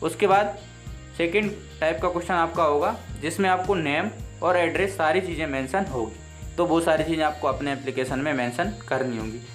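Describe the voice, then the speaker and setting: An Indian-accented man, a native Hindi speaker, gives an exam lesson in a fairly high voice. He explains step by step and repeats key points in an instructive manner. Faint music plays behind him. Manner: instructive, repeats points, slow deliberate read-outs. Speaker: teacher.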